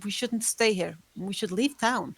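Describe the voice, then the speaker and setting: A woman playing an ancient, seductive, world-weary female vampire in a table read, with formal, deliberate phrasing. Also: mid-range voice, slightly rough. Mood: resigned, firm. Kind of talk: scripted drama table read.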